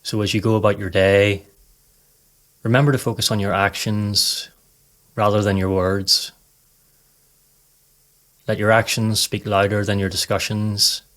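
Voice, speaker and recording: Guy with a lowish voice doing guided meditation, very flat and calm, measured delivery. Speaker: podcast host and meditation guide. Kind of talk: scripted guided meditation narration.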